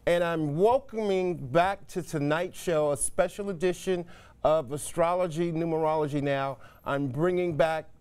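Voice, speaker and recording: A man's voice: fairly high pitch, moderate pace, darkish tone, smooth. A man hosting a live call-in show, presenting and interviewing in an emphatic, showman-like way with repeated phrases. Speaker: TV host.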